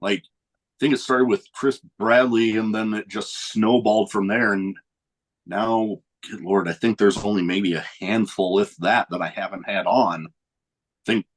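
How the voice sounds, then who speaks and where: A man hosting a podcast, chatting in a relaxed, rambling way and often losing his train of thought. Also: on the low side, a little slow, a little hiss or hum, poor audio. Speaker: podcast host. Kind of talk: casual chat.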